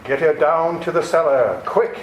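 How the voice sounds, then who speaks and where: A man's voice: a little high, close to an everyday voice. A man playing a sinister, cruel criminal brother in a radio play, with a threatening manner. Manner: slow, threatening delivery. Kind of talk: old-time radio play acting. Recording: roomy, echoing recording with faint noise.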